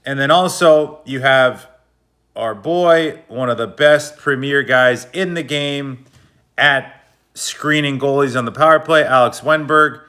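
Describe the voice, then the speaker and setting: Bright-voiced man breaking down hockey plays. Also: medium pitch, a little slow. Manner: loose, slangy, coach-like explaining. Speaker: hockey video analyst. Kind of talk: casual play-by-play breakdown of game footage.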